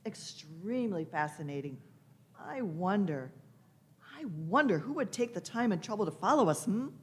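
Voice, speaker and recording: A woman's voice: medium pitch, animated, moderate pace, slight background noise, lightly acted. A woman playing an elderly, eccentric time traveler in a radio play, musing aloud with old-fashioned endearments and repeated phrases. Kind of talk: radio play performed by amateur players.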